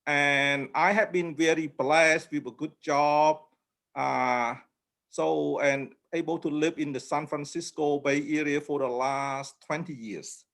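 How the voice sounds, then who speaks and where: This is a middle-aged man from Cambodia, a guest in an informal interview. His voice sits a little high for a man. He talks humbly and haltingly, with frequent pauses. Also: steady tone, gravelly, a bit bright. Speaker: interview guest, memoir author.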